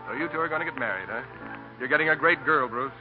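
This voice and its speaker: An actor playing a sarcastic, scheming newspaper editor in a 1940s radio comedy, with a pushy, theatrical, wisecracking delivery. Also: male voice, a little high, fast talker, music in the background, natural voice. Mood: sly, mocking. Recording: dull, noisy 1940 radio broadcast.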